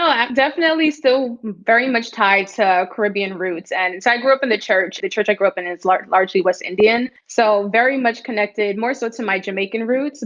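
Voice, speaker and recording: A woman's voice: medium pitch, a bit bright. Female founder from New York City, earnest long answers, muffled lo-fi line. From New York City, the Bronx. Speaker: startup founder and guest. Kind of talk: podcast interview.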